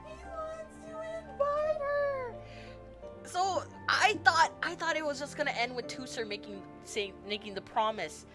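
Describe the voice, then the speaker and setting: A woman's voice: very high voice, lively intonation, a little slow. Gushing woman streamer over game music. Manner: gushing, emotional, rambling, swearing. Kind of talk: excited gaming stream commentary.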